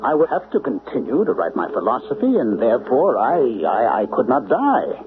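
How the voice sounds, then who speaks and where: A man's voice: mid-range voice, huge pitch swings, normal speed, background noise. An actor playing a brooding male writer of supernatural stories, narrating a 1970s radio drama in an introspective, questioning way. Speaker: narrator and lead character, a writer. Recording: tinny, lo-fi old radio broadcast with music.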